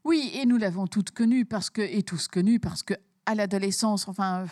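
A woman's voice: mid-range voice, moderate pace, darkish tone, no room sound, studio quality. A woman author from Belgium, talking emphatically about her book in an interview and repeating words as she starts her sentences.

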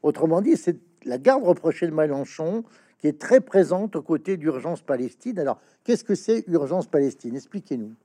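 A man hosting an interview, asking questions in a halting way with many stammered restarts and fillers. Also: on the high side, sing-song, mellow. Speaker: interviewer, host. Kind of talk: interview questions, reading passages aloud.

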